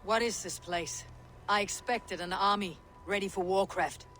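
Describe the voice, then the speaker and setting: A man's voice: sits high, fairly even intonation, unhurried, sharp tone, slight background noise. An actor playing a stern, blunt male Viking warrior in a game cutscene. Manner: blunt, disapproving. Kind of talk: video game cutscene acting.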